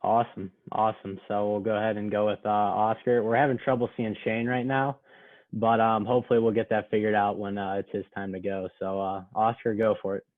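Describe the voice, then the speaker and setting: A male moderator with a fairly low voice leads an online panel in a relaxed, casual manner. His voice comes through a thin, phone-like video-call line that sounds dry and close, with no room around it. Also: brisk, no room sound.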